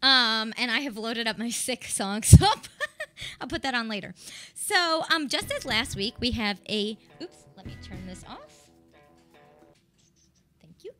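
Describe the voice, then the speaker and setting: A woman's voice: a little high, expressive, measured pace, pauses often, pushed and bright, soft background music. A woman in her thirties hosting a live radio talk show as a dating coach, chatty and upbeat, laughing now and then. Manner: chatty, upbeat, laughs often, speaks with hand gestures. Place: small radio studio. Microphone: studio mic on a boom arm, headphones on.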